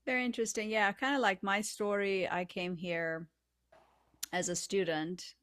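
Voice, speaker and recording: A woman's voice: mid-range voice, steady tone, normal speed, a bit bright. A woman from Romania hosts an interview, asking questions and sharing her own story in a conversational way. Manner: conversational, asks leading questions. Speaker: podcast host.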